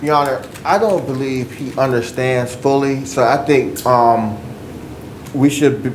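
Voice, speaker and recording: A man speaks as a public defender, addressing the judge with hesitations and filler words. His voice has an ordinary pitch and carries noticeable echo from a roomy space, with faint noise behind it. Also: unhurried. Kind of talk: courtroom argument.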